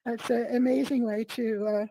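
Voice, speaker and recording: A middle-aged woman, hoarse from a cold, talking in a rambling way with many fillers as a guest on a video call. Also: fairly high pitch, steady tone, moderate pace, warm tone, slight background noise, poor audio. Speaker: guest artist. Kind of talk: casual interview chat.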